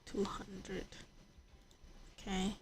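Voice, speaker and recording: A woman's voice: mid-range voice, monotone, very slow, noisy background. A woman livestreaming a video game, chatting casually and thinking aloud in rambling, repeated phrases. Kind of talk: casual livestream chat while gaming.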